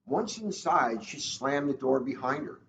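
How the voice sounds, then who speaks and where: A man's voice: mid-range voice, animated, unhurried, creaky, reverberant. A man, a police officer, reading a children's storybook aloud in a casual, informal style. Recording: dull lo-fi audio, faint noise.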